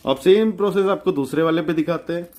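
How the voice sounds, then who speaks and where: A man's voice: a little high, brisk, a little mellow. A man giving an informal electronics demonstration as a DIY host, chatty and colloquial, mixing English terms into his speech.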